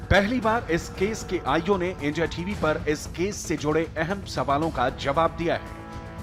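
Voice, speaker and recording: An Indian man reading a scripted, dramatic news report as a voice-over. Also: fairly high pitch. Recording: music bed behind voice. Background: background music.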